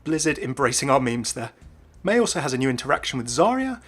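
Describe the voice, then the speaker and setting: Fast-talking man, game commentary. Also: medium pitch, very animated, breathy edge. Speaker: YouTube game lore presenter. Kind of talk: scripted video commentary. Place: voice-over with faint music behind.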